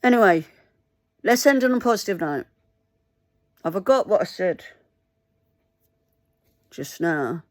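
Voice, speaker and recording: A woman whose first language is Mandarin, talking earnestly to camera in a halting, pleading way. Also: lowish voice, lively intonation, dry recording, clean studio sound. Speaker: vlogger. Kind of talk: casual talk to camera.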